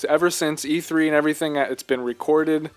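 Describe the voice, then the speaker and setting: A man's voice: a little high, fairly even intonation, brisk. Casual man podcasting over music. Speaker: podcast co-host. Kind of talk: casual chat, video game discussion.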